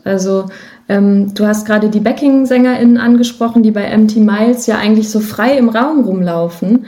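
A woman's voice: fairly high pitch, dark tone. A woman speaking casually as a musician guest in an interview.

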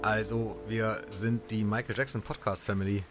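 A man's voice: lowish voice, little pitch movement, moderate pace, loud music behind the voice, close to an everyday voice. A man playing an easygoing member of a podcast group on a spooky outing in an audio drama. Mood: casual, wary. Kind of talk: scripted audio drama dialogue.

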